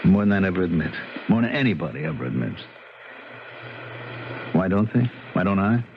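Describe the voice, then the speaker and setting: A man playing an earnest, troubled police officer, ruminating haltingly and repeating himself in a 1970s radio drama. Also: low voice, animated, vocal fry. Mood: musing, confiding. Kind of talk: 1970s radio drama acting, conversational monologue. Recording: muffled, slightly noisy old radio broadcast.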